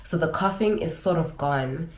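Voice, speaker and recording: A woman's voice: low voice, moderate pace, a little mellow, roomy sound. A young woman vlogger sharing casual life advice to camera, sounding nasal and congested from a lingering infection.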